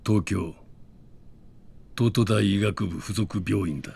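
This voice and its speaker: An extremely gravelly, low-voiced secret agent, guarded and grave. He talks in measured, terse lines in a video game crime drama, with music behind him. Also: a little mellow, heavily acted.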